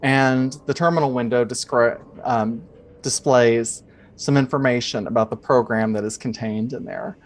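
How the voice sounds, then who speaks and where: Man narrating a tabletop game, mid-pitched. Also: steady tone, moderate pace. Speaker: game master.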